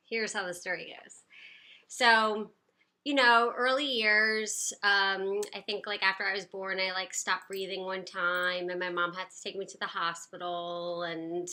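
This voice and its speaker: A woman's voice: mid-range voice, steady tone, takes it slowly, pushed and bright. A woman in her late thirties from the United States, telling her own story in an upbeat, chatty way with plenty of fillers. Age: 30s. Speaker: personal storyteller. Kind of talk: casual personal storytelling to camera.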